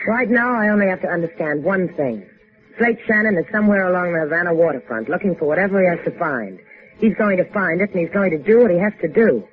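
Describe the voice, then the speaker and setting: A woman's voice: lowish voice, lively intonation, fast talker, close to an everyday voice. An actress playing a cool, tough, sardonic female sidekick in a 1950s radio adventure. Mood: cool, dry refusal. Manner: dry, sardonic. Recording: tinny band-limited 1950s radio recording with music.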